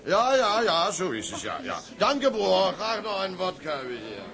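An actor playing an awkward, taciturn sea captain, halting and repeating himself in a period drama. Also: male voice, sits high, big pitch swings, creaky, exaggerated voice. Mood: flustered, halting. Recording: off-mic amid party crowd chatter.

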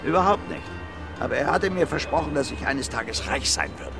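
Blunt middle-aged brawler, swinging intonation. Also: male voice, medium pitch, sing-song, brisk, creaky, over music. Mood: defiant, stubborn. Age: 50s. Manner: blunt, plain-spoken, repeating himself. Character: gruff, blunt, self-confessed violent brawler in his fifties. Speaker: suspect being questioned. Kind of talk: radio drama acting.

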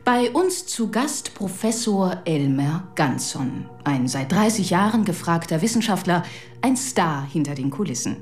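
Woman presenter, lowish voice, formal and composed, scripted, radio play. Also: big pitch swings, unhurried, a little mellow, soft background music. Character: formal female presenter introducing a guest. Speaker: presenter introducing a guest.

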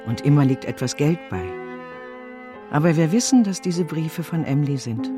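An actor in a German radio play voicing a worried, warm-hearted letter writer in a plain, homely, heartfelt manner. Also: male voice, on the high side, expressive, moderate pace, close to an everyday voice. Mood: wistful, caring. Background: violin music.

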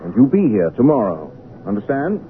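An actor playing a proud, obsessive painter in an old radio drama, intense and peremptory in manner. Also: male voice, mid-range voice, very animated, normal speed, natural voice. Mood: imperious. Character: proud, obsessive, imperious male painter in love. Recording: tinny, noisy old radio recording.

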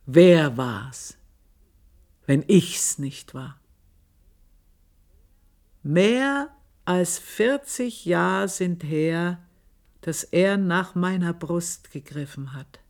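An older actress playing an old, scheming maidservant in a radio drama, brooding and intense, dropping into whispers. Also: female voice, sits low, exaggerated voice. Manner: brooding, intense, shifts between whispers and outbursts. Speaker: old maid narrating her life. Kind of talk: 1980s radio drama acting.

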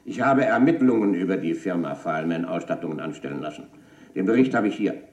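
A man's voice: low voice, lively intonation, fast, darkish tone, muffled recording. A man playing a stern, domineering company boss in a radio crime play, authoritative and cutting, with clipped speech. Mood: coldly probing. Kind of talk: German radio crime drama acting. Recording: roomy, echoing played-back office tape.

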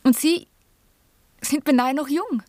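A woman's voice: high voice, slow pace, a little mellow, dry recording. A woman playing an earnest, brooding young lady in a German radio drama, with intense, wistful, literary phrasing.

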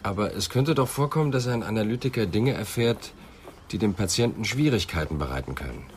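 A calm police inspector played by a male actor in a radio crime drama, with a low voice. He questions soberly and deliberately, with some noise behind him. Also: natural voice. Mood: probing, sober.

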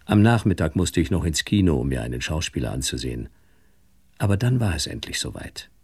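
An actor playing a worldly, detached married man, narrating and speaking in a radio drama with a husky voice. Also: extremely low pitch, big pitch swings, brisk, a little mellow, no room sound, full character voice. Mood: reflective. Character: worldly, detached married man recounting his own story. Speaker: narrator and male lead. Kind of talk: first-person radio drama narration and dialogue.

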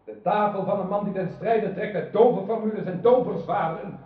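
A proud, defiant knight played by a man declaims in a high voice. He sounds bitter and indignant, far off in a very echoey hall on a tinny radio play recording. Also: normal speed, close to an everyday voice. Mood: scornful. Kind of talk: radio drama acting.

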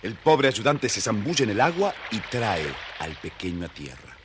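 A man narrating a children's fairy tale as a theatrical, husky-voiced storyteller. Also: lowish voice, big pitch swings, moderate pace, background noise. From Argentina.

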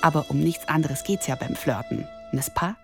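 A woman's voice: low-pitched, normal speed, music in the background. A woman of thirty-nine delivering an ironic, self-mocking scripted radio monologue in the first person. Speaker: radio columnist and presenter.

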